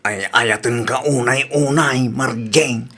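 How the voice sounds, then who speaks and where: A man's voice: mid-range voice, takes it slowly, bright, forceful tone, heavily acted. A man with an Ilocano Filipino accent acting as an earnest lover and single father in a radio drama. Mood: tender.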